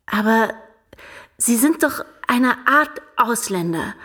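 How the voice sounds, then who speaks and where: A woman's voice: fairly high pitch, expressive, takes it slowly, sharp tone, breathy edge, full character voice. An actress playing a shy, curious young provincial lady in a radio drama, hesitant and earnest. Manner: hesitant, wondering, simple direct questions.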